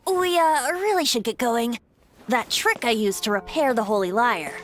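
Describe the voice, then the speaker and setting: A woman's voice: a little high, animated, moderate pace, faint music behind, lightly acted. A woman voicing a boyish, sly young male bard in a video game, with a flippant, teasing manner. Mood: sheepish. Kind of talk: video game character acting.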